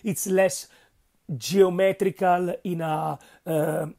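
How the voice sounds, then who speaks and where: A man with an Italian accent narrating a painting tutorial, speaking haltingly and searching for words, with frequent checks of "okay?". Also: high voice, steady tone, creaky, warm tone. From Italy. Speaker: miniature painting instructor.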